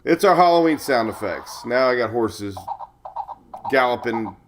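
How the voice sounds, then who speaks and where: A man's voice: mid-range voice, lively intonation, unhurried, noisy background. A man hosting a casual live weather stream, chatty, rambling and jokey, sounding slightly stuffed up with a cold as he greets viewers.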